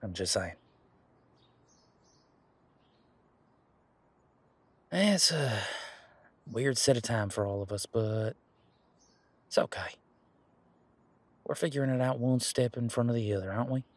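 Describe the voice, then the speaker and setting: A man playing an earnest, teasing werewolf boyfriend in an intimate roleplay audio drama, speaking casually and haltingly with a husky voice. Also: mid-range voice, darkish tone, no echo, close to an everyday voice. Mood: reflective, gentle. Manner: casual, halting, conversational with trailing pauses. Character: male werewolf boyfriend, earnest, hopeful, teasing former bodyguard.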